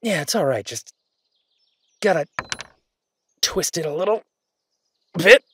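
A man's voice: on the high side, very animated, slow, pushed and bright, husky, no echo, exaggerated voice. A man playing a caring, easygoing boyfriend in an intimate audio roleplay, talking in a hesitant, reassuring, conversational way. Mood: casual, easygoing. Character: caring, easygoing human boyfriend of a werewolf woman.

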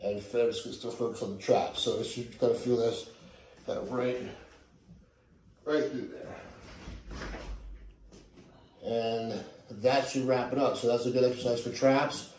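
Male fitness coach, middle-aged, casual and easygoing, warm dark tone, roomy home recording with audible noise. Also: mid-range voice, fairly even intonation, measured pace, pauses often. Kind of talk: casual workout demonstration. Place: living room at home, indoors. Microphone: camera a few meters away.

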